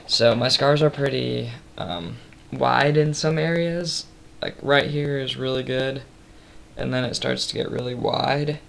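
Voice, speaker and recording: Tired young man with a gravelly voice. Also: fairly even intonation, measured pace, slight background noise. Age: 20s. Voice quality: slightly raspy from tiredness. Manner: rambling, many ums, soft and low-key. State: tired, late at night. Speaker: vlogger. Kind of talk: casual webcam update. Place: small room indoors. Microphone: built-in webcam mic at close range.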